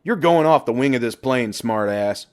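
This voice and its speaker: A man's voice: mid-range voice, expressive, moderate pace, voice pushed up, lightly acted. A man voicing a troubled middle-aged husband in an audiobook story, earnest and easily exasperated.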